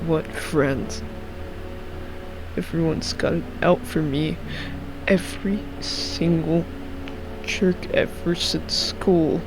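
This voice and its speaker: Man doing a creaky, giggly, unsettling misfit. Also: fairly high pitch, noisy background, heavily acted. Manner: childish, jittery, giggling. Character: nervous, giggly, unsettling misfit seeking shelter. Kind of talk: amateur game character voicing. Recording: music under the voice.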